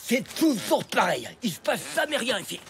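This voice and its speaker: A woman's voice: on the high side, sing-song, normal speed, slight background noise. A woman playing an eager, admiring schoolboy in a French cartoon superhero gang, with an awed, drawling manner. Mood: disappointed.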